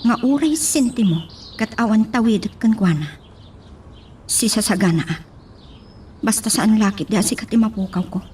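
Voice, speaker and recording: A woman's voice: medium pitch, moderate pace, long pauses, a little mellow, a little hiss or hum, lightly acted. A woman playing a devoted, defiant young sweetheart in a romantic audio drama, earnest and pleading.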